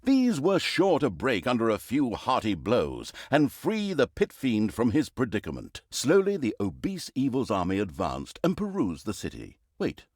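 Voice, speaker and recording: Sardonic mock-epic narrator for a villainous fantasy game: pompous, very wide swooping intonation, dry studio sound. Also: male voice, medium pitch, huge pitch swings, normal speed, hardly any pauses, a little mellow, no room sound. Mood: wry, theatrical. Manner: pompous, dry, sarcastic, exaggerated storytelling delivery. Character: sardonic male omniscient narrator of an evil overlord fantasy game. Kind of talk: theatrical, mock-epic storybook narration with snide asides.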